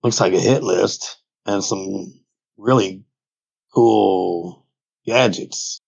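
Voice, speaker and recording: A man's voice: low-pitched, extremely slow, vocal fry, darkish tone, slightly rough, dry recording. A man playing a tabletop roleplaying game in casual, in-character table talk, on a slightly dull close recording.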